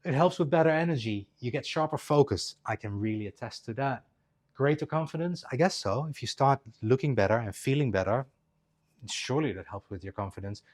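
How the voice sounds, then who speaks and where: A man's voice: mid-range voice, animated, fairly quick. A man of about fifty giving a loose, chatty presentation, with many restarts as he talks. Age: 50s. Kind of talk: casual live presentation. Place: clinic presentation room.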